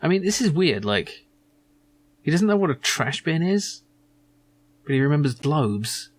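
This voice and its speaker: A man's voice: a little high, sing-song, a little slow. A man hosting a let's play, giving casual, musing commentary as he goes through an adventure game. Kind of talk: casual gameplay commentary, reading game dialogue aloud.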